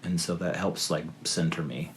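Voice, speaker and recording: A man's voice: sits low, fairly even intonation, normal speed, gravelly, slight background noise. A man in his thirties, a father talking about his family as a podcast guest, rambling with many fillers and restarts. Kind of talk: casual podcast conversation.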